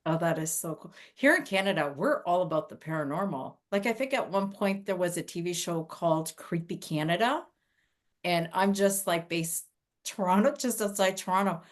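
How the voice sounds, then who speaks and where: A woman's voice: on the low side, lively intonation, moderate pace. A woman from Portugal hosting a casual interview, enthusiastic and curious. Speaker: host.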